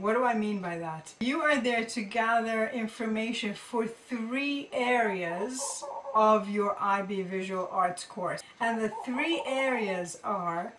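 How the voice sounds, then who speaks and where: A woman's voice: mid-range voice, fairly bright tone. Woman teacher in an echoey room. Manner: conversational, thinking aloud, often rephrasing. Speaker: art teacher presenting tips to students. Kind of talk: informal explanatory talk to camera. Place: indoor room with carved wooden panelling. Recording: roomy echo, distant microphone.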